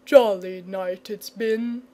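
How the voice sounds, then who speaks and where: A woman's voice: mid-range voice, extremely slow, warm tone. A woman voicing a sleepy, jovial, lazy big male dragon with a rambling drawl for a children's storybook. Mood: drowsy, contented. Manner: drowsy drawl, slurred and rambling.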